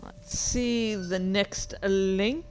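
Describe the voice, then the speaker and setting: A woman streamer chatting casually, rambling and interrupting herself with playful excitement. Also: mid-range voice, drawn-out words, faint music behind. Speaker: streamer and author. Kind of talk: casual livestream chat.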